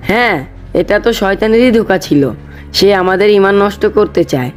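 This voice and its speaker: A man's voice: sits high, lively intonation, normal speed. A man playing a pious, patient boy in a moral cartoon, earnest and instructive with his friend. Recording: faint background music.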